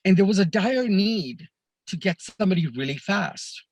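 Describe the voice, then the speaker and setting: A man from Kenya, a podcast guest, telling stories of his life abroad in an earnest, measured way, often pausing on "uh" and "you know". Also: sits high, lively intonation, a little mellow, no echo. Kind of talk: reflective storytelling in a conversation.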